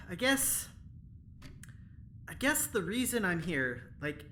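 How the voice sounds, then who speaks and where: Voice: medium pitch, sing-song, drawn-out words, pushed and bright, heavily acted. A woman playing a chatty but evasive, eager-to-please prisoner, chirpy and hesitant, in a sci-fi audio drama. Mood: awkward, evasive. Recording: faint background noise.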